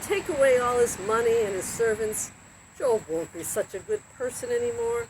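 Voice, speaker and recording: A woman's voice: fairly high pitch, moderate pace, close to an everyday voice. Woman reading as scornful devil, very noisy recording. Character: Satan, scornful male tempter challenging God. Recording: very noisy recording with steady background noise.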